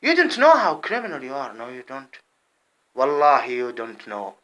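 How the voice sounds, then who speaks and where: Man, fiery monologue to camera, repeats himself, jumps from loud bursts to low mutters, bright and tense tone. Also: wildly swooping pitch, normal speed, poor audio. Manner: heated, repetitive, swings between loud outbursts and low muttering.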